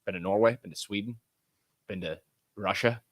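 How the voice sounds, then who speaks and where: A man's voice: fairly low pitch, normal speed, no room sound. A man co-hosting a casual podcast, joking and chiming in playfully. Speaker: podcast co-host.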